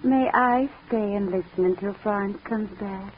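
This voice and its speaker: An actress playing a timid, apologetic, adoring young sister in 1940s radio drama style, on a tinny old recording. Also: female voice, mid-range voice, moderate pace, slight background noise, lightly acted. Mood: meek, apologetic.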